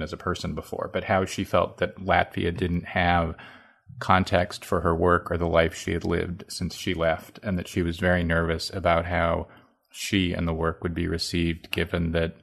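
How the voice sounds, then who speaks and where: A man hosting an interview, asking prepared questions and giving measured explanations for listeners. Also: low-pitched, creaky, fairly bright tone. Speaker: radio interview host.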